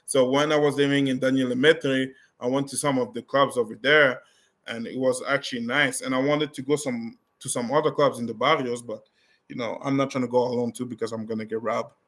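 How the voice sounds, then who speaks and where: A young man answers viewer questions in a loose, conversational way, often stopping to restart his sentences. His voice sits at an ordinary middle pitch. He sounds casual and unscripted, like a vlogger talking straight to his camera. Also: fairly even intonation, brisk, fairly bright tone. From Canada. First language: French.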